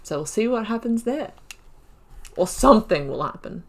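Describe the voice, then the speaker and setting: A woman's voice: medium pitch, normal speed, vocal fry. Chatty young woman gaming commentary. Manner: chatty, excitable, talks to herself while playing. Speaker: gaming channel host. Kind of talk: casual let's-play commentary.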